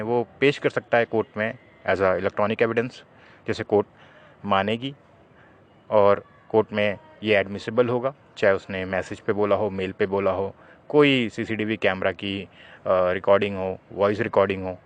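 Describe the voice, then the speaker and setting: A man's voice: lowish voice, normal speed. Man explaining law. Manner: didactic, poses questions then answers them. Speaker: legal explainer, presenter. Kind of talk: explanatory legal talk to viewers.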